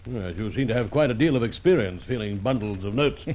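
A man's voice: fairly low pitch, lively intonation, fairly quick, a little mellow, natural voice. An actor playing a crooked businessman in an old radio serial, sour, grudging and sarcastic. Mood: sour, sardonic. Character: crooked male businessman, sardonic, grudging blackmail victim. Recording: muffled, noisy old radio recording.

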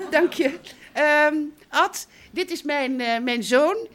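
A middle-aged woman playing the earnest mother of grown children in a radio drama, halting and often correcting herself. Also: sits high, animated, heavily acted. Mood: fussing, polite. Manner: halting, evasive, self-correcting. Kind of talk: naturalistic radio drama acting.

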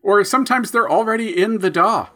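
A man's voice: high-pitched, a little fast, pushed and bright. A young man co-hosting a casual podcast chat, explaining his sound design habits in a thoughtful, self-deprecating way. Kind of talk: casual conversation.

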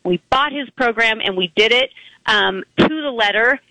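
Older woman, hoarse, bright voice, dry close sound, earnest heartfelt caller, halting restarts. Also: on the high side, no echo, rough recording. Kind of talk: heartfelt testimonial on a call-in radio show. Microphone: phone line.

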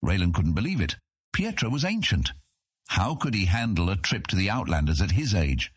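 A man reading fantasy fiction as a dramatic audiobook narrator with a husky voice. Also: low voice, very animated, normal speed, dry recording, clean studio sound.

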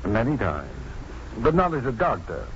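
A man's voice: mid-range voice, huge pitch swings, normal speed. A male actor playing a wry, unruffled, affectionate doctor in a 1950s radio drama, dry and teasing. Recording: muffled, noisy 1950s radio recording.

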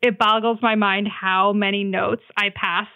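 A woman's voice: medium pitch, level tone, a little slow, phone-quality sound. A woman from New Jersey chatting informally as a podcast guest, peppering her sentences with "like". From New Jersey, United States. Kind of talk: casual conversational chat.

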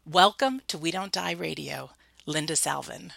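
A woman's voice: low-pitched, moderate pace, vocal fry, a bit bright, no echo. A woman hosting a radio interview show, effusive and enthusiastic as she draws out her guest.

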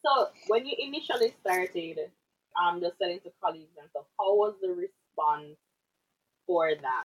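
A woman's voice: fairly low pitch, expressive, moderate pace, husky, rough recording. A woman from Jamaica hosting an interview, chatty and enthusiastic in her questions. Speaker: interview host. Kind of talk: casual interview.

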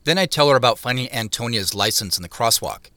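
A man acting as a reflective first-person narrator in an audio drama, telling the story in a measured way. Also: mid-range voice, animated, sharp tone, dry recording. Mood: matter-of-fact.

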